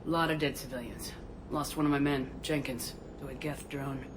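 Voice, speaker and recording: A man playing a resolute, compassionate military commander in a video game, speaking in a terse, serious, steady way. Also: mid-range voice, normal speed, close to an everyday voice. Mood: somber. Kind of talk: video game cutscene acting. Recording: clear ambient noise behind voice.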